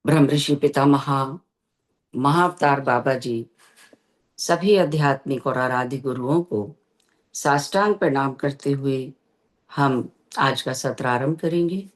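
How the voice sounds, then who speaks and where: A meditation teacher leading a guided session, giving gentle instructions with long pauses between phrases. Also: mid-range voice.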